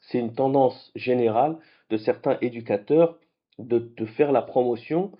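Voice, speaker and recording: A man whose first languages are French and Arabic, hosting a late-night trading vlog in a lively, conversational way. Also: medium pitch, measured pace, husky, dull audio. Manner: animated, conversational, with restarts. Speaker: trading channel host.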